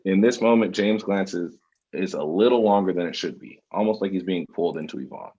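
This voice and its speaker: Male narrator for script directions: very low, husky, slightly muffled sound. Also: fairly quick, warm tone. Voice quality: gravelly, husky. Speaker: stage directions reader.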